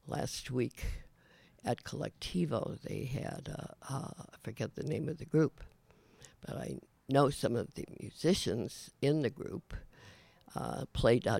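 An older man hosting his own show, talking casually in a halting way with many fillers, his voice raspy today. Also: medium pitch, a little mellow, dry recording. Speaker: show host. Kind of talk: casual rambling monologue.

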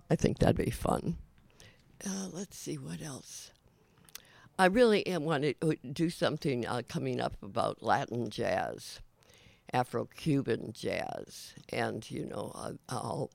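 A raspy older man talks slowly and loosely, stopping often to search for words. His voice is deeply gravelly, heard close and dry with no room around it. The talk is relaxed and personal. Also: dry recording. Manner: halting, many fillers and restarts. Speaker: show host. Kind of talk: casual rambling monologue.

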